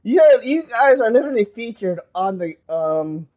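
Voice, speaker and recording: Animated woman doing casual game commentary. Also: mid-range voice, normal speed, lo-fi sound. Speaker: game streamer. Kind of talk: casual let's-play commentary. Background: game music.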